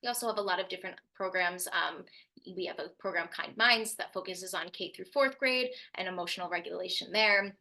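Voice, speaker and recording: A young woman speaking as a youth mental health speaker, telling her personal story to an audience in an earnest, steady way. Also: fairly low pitch, a little fast, gravelly. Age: young adult. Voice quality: loud voice.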